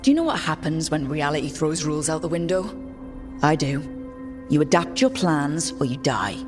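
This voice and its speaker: An actress playing a wry, defiant adventurer heroine in a video game, with a dry, determined manner. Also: female voice, low-pitched, expressive, normal speed. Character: wry, defiant young female adventurer hero. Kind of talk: dramatic video game cutscene acting. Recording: clear music behind the voice.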